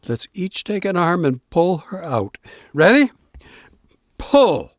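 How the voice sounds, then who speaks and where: A devoted younger brother in an audio play. His voice is high and swings widely in pitch. He stammers and repeats words, earnest and exasperated as he talks. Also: very animated, takes it slowly, no room sound, clean studio sound, exaggerated voice. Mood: urgent. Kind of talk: audio drama acting.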